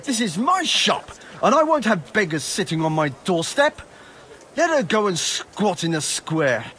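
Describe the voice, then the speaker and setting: A man's voice: high voice, sing-song, measured pace, bright, forceful tone, full character voice. A man voicing a scornful, grumbling medieval armourer in a video game, irritable and indignant. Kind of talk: dramatic game cutscene dialogue.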